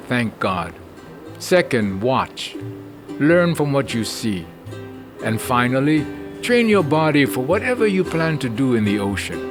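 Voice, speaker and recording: Measured male documentary narrator. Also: medium pitch. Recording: narration over guitar music.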